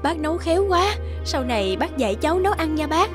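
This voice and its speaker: A woman's voice: sits high, sing-song, fast, over music. A woman playing a timid, polite young woman in a cartoon, hesitant and earnest. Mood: polite, nervous. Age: young adult. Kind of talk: Vietnamese cartoon dialogue.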